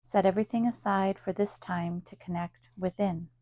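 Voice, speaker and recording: A woman leading a guided yoga nidra meditation as a teacher, speaking in a calm, soothing, unhurried manner with long pauses. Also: medium pitch, no room sound, studio quality.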